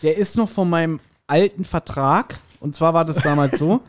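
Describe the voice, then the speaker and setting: A man chatting casually as a podcast host, telling anecdotes in an animated way and acting out quoted remarks. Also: fairly high pitch, normal speed. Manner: animated storytelling, laughs readily, acts out quoted remarks.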